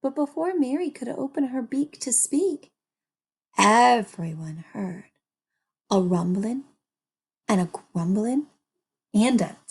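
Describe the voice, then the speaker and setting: A woman reading a children's story aloud with animated, dramatic and deliberate phrasing. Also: on the high side, breathy edge. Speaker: storybook reader. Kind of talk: animated scripted children's narration.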